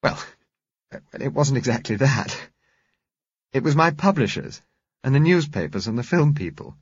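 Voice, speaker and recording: A man reading aloud, voicing a spirited young Englishwoman in clipped, brisk lines. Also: medium pitch, animated, slightly rough, no echo, lo-fi sound, voice pushed up.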